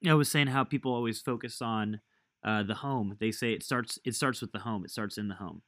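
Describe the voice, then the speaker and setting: Low-voiced, dry-sounding male host. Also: fairly quick, a bit bright, dry recording. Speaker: podcast host. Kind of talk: casual interview conversation.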